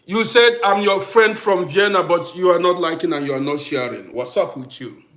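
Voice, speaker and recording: Animated man hosting a livestream. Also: high-pitched, normal speed. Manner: animated, greets viewers by name, repeats himself. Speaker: livestream host. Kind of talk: casual livestream chat with viewers.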